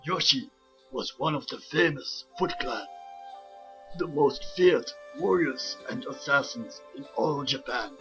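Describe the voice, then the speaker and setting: A man voicing a wise old rat sensei and father, telling a story in a measured, grave, formal manner for a fan voice comic. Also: medium pitch, close to an everyday voice, poor audio. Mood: grave, reminiscing. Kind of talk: dramatic comic-book storytelling. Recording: music under the voice. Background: clear background music.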